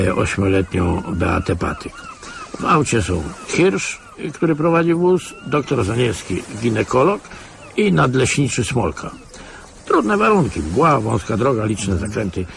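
A man's voice: fairly low pitch, creaky, noisy background, full character voice. A man playing a world-weary, cynical old retired security officer in a radio play, sardonic and patronising. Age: older. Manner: sardonic, patronising, chuckling asides. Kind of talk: Polish radio play acting.

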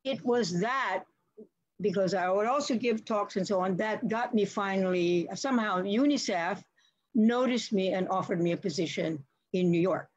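A woman's voice: medium pitch, moderate pace, no echo. Older woman, seminar moderator, storytelling manner, muffled dry call audio. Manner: reflective, storytelling, chatty asides. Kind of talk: academic webinar conversation. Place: home study with bookshelves. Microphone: laptop video call.

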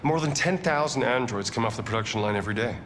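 A man playing a self-assured tech founder in his thirties in a game, measured and explanatory, recorded with ambient noise. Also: medium pitch, natural voice. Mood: confident, explanatory. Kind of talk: scripted game interview. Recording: clear ambient noise behind voice.

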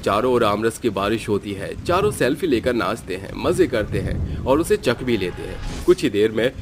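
Male narrator, scripted story: dramatic, highly animated intonation, music bed. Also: normal speed.

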